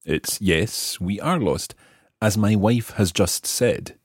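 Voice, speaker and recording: A man hosting a scripted language lesson, explaining clearly and repeating phrases slowly and deliberately. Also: fairly low pitch, no room sound, clean studio sound. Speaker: language teacher and host.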